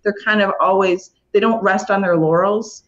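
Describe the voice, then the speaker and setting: A woman's voice: medium pitch, fairly even intonation, moderate pace, a bit bright, highs cut off. A woman speaking as a guest in a casual interview, with an informal, conversational manner. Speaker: interview guest, community awareness team member.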